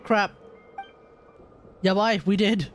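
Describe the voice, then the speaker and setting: A woman streamer giving casual gameplay commentary, excitable and prone to repeating herself. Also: medium pitch, slow pace, slight background noise.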